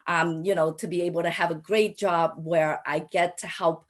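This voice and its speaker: Low-pitched, halting woman from Cambodia. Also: steady tone. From Cambodia, raised in a Thai refugee camp and the US. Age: 40s. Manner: halting, frequent hesitations and repeated phrases. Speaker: panelist, local elected official. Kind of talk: personal story on a panel.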